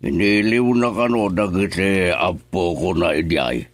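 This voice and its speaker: Brief: a gruff Ilocano dad, very low, dry close mic. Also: male voice, unhurried, no echo. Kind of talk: radio drama acting.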